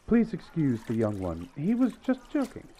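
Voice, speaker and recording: An actor playing a courteous, measured doctor who mentors and plans in an audio drama. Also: male voice, fairly high pitch, huge pitch swings, warm tone, slight background noise. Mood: politely apologetic. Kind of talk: radio-play acting.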